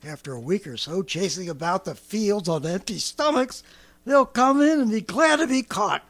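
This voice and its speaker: High, fanatical American survivalist soldier. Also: male voice, lively intonation, moderate pace, a bit bright, full character voice. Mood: scornful, scheming. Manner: ranting, colloquial, swinging from brooding to frenzied. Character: fanatical survivalist artilleryman, swept up in grandiose schemes. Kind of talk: 1930s radio drama acting.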